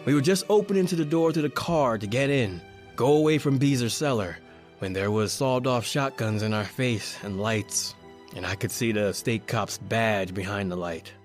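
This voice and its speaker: A man's voice: mid-range voice, normal speed, lightly acted. Man acting a desperate young criminal, music behind. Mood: tense narration. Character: desperate young male criminal recounting his own downfall. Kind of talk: first-person horror radio play acting and narration.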